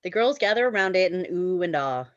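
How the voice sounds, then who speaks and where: A woman's voice: fairly low pitch, lively intonation, brisk, no room sound, highs cut off. A woman narrating a screenplay's stage directions for a table read.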